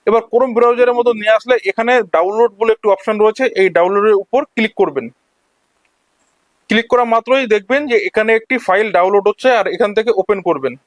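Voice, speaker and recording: A man's voice: sits high, no echo. A man narrating an instructional screen-recording tutorial, explaining each step in turn as a voice-over. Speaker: tech tutorial host.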